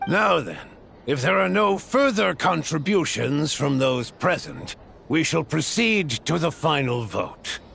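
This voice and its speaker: A man's voice: a little high, lively intonation, measured pace, creaky, a bit bright, a little hiss or hum, full character voice. An actor playing a formal, ceremonious chairman of a political assembly in a video game. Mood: solemn, ceremonious. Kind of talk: scripted video game acting, formal proceedings.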